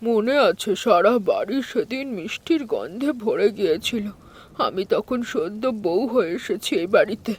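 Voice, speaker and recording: Man voicing a high-pitched, fussing old mother. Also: very animated, moderate pace, husky, full character voice. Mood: wistful nostalgia. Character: elderly mother-in-law, fussing, caring and nostalgic. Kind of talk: cartoon story acting.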